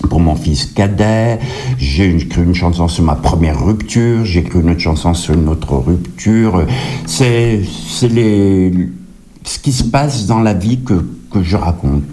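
A deep, dark-toned middle-aged man from Kabylie, talking as a songwriter guest in an interview. He tells his stories at an easy pace, stumbling and repeating words before he finds his phrasing. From Kabylie, Algeria. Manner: hesitant, repeats words and restarts. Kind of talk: relaxed interview answers, anecdotal.

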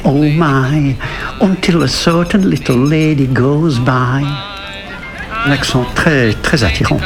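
An older man, a poet, reflecting and reciting in a slow, deliberate way. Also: medium pitch, over music. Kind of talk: reflective talk and poem recitation.

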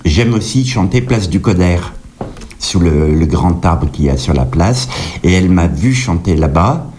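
A deep, dark-toned middle-aged man from Kabylie, talking as a songwriter guest in an interview. He tells his stories at an easy pace, stumbling and repeating words before he finds his phrasing. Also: expressive, a little slow, slight background noise. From Kabylie, Algeria. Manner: hesitant, repeats words and restarts. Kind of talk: relaxed interview answers, anecdotal.

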